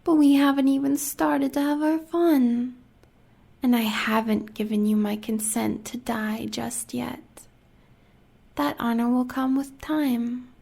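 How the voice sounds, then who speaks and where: Woman playing a slow, breathy, sadistic American huntress. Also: on the high side, level tone, darkish tone, exaggerated voice. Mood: gloating. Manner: taunting, sultry, breathy half-whispers with cruel pet names. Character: sadistic seductive werewolf huntress, villain's lover. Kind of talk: audio roleplay acting to the listener.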